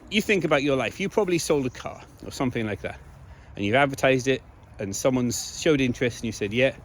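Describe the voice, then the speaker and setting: A slightly hoarse man speaking in a casual, emphatic monologue to viewers, repeating key phrases for stress. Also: a little high, slight background noise. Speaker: video blogger.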